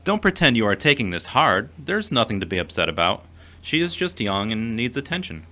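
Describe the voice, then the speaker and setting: A man's voice: mid-range voice, very animated, fairly quick, bright, forceful tone. A man playing a well-meaning, self-doubting friend in an audio drama, plain-spoken and mild. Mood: defensive. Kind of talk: amateur audio drama acting.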